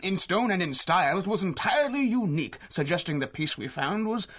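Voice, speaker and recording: An actor playing a courteous, excitable older archaeologist with a fussy, hesitant manner, in a 1930s-style radio drama. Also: male voice, high voice, fairly quick. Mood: surprised, curious.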